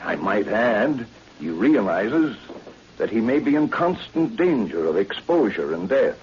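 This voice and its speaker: Self-assured American syndicate boss, businesslike, swinging intonation, 1940s radio play, dull recording. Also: male voice, on the low side, big pitch swings, a little slow. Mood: confident, insistent. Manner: emphatic, businesslike. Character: persuasive, self-assured male news syndicate president. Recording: muffled vintage radio recording with hiss.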